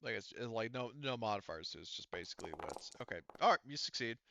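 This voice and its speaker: A man acting as game master in casual tabletop talk, haltingly thinking aloud as he explains. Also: on the low side, lively intonation, creaky, bright, forceful tone, slight background noise.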